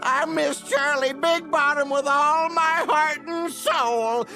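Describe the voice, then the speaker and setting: A man's voice: high voice, sing-song, measured pace. A man playing an elderly, proud, grumbling frog grandfather in a cartoon, with a folksy, theatrical delivery. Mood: heartbroken. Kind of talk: animated cartoon acting. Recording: music behind cartoon dialogue.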